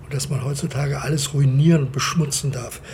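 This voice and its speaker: Man acting a big forensic technician, soft dark tone, fry in the voice, unhurried, pedantic, radio drama. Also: mid-range voice, steady tone, slight background noise, close to an everyday voice. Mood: indignant, dry. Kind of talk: naturalistic German radio drama.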